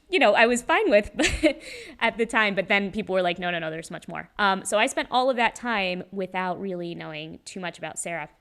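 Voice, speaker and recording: Woman, casual and talkative, smooth resonant tone, holding a handheld mic. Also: medium pitch, a bit bright. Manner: chatty and rambling, many ums, laughs often. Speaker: singer and voice actor in a fan musical. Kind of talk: casual livestream Q&A chat. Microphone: handheld microphone.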